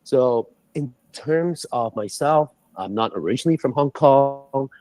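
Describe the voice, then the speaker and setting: A man from Singapore, a guest on a livestream called in remotely, explaining things in an animated way and jumping in pitch on key numbers. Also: a little slow, dry recording, rough recording. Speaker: guest, head of global strategy. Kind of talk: livestream interview talk. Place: indoors, calling in from abroad. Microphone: remote call.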